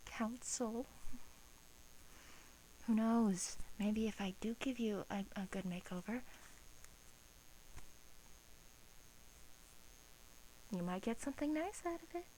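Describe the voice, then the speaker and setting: A woman playing a bored, teasing vampire guard in a soft-spoken ASMR roleplay, coaxing in hesitant, drawn-out phrases with an undertone of menace. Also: fairly high pitch, close to an everyday voice, low-quality recording. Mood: playful, persuasive. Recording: faint background hiss.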